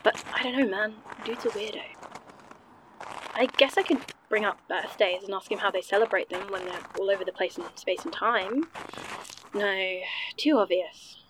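Actress as young woman scientist, curious, sardonic, slangy, naturalistic audio drama, medium pitch, background noise. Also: lightly acted.

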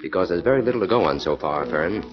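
Calm, shrewd detective with a very low voice, old radio drama, muffled sound. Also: male voice, fast talker. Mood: explaining. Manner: even, confident, matter-of-fact. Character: calm shrewd male detective, self-assured. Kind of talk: 1940s radio acting. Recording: dull old radio broadcast with music.

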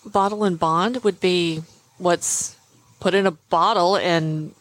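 A woman's voice: on the low side, steady tone, takes it slowly, rough recording. A woman co-hosting a casual podcast, answering with dry, teasing banter. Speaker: podcast co-host. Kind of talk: casual chat.

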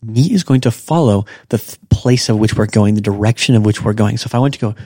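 A man hosting a podcast, giving a casual language lesson and slowly repeating example phrases. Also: lowish voice, warm tone, no echo, studio quality. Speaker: podcast host and Japanese teacher.

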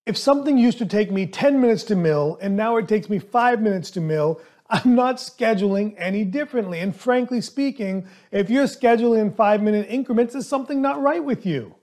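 A man who speaks English as a second language, hosting a solo podcast as a dentist and coaching his listeners in an emphatic, animated way. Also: high voice, brisk, few pauses. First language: not English.

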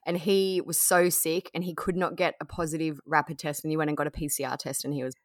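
A young woman from outside the United States, chatting with a friend in a soft, supportive, hedging way. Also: deep voice, level tone, quick pace, no room sound, clean studio sound. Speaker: friend on a call. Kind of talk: casual chat.

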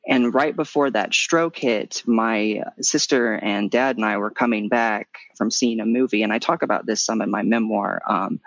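A man in his thirties from Missouri with a light, higher voice, talking candidly as an interview guest and often swooping up in pitch when animated. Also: gravelly, dry recording, rough recording. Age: 30s. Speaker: podcast guest, memoir author.

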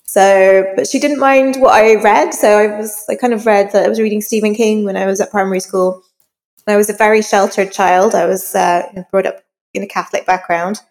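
A woman's voice: mid-range voice, fairly even intonation, brisk, a little mellow, big-room sound. A woman in her forties with a Northern Irish accent, chatting casually as an interview guest, slightly congested from a cold. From rural Northern Ireland. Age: 40s. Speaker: crime novelist, interview guest.

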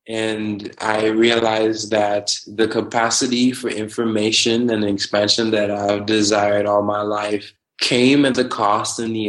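A man in his twenties from Trinidad and Tobago, talking casually as a podcast guest, animated and quick to laugh. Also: fairly low pitch, poor audio. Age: 20s. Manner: animated, laughs often, spiritual slang-heavy talk. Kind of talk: casual conversational interview.

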